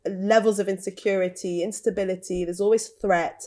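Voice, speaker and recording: A woman's voice: medium pitch, fairly even intonation, brisk, darkish tone. A woman who grew up in Britain, telling personal stories in a candid, casual chat and repeating words for emphasis. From Lebanon. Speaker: guest.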